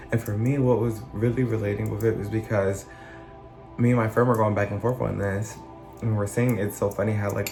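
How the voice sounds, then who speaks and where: Low gravelly man musing earnestly. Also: a little mellow, roomy sound. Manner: earnest, musing, repeats and restarts phrases. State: recovering from illness, voice still rough. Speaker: channel host. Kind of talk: casual reflective monologue.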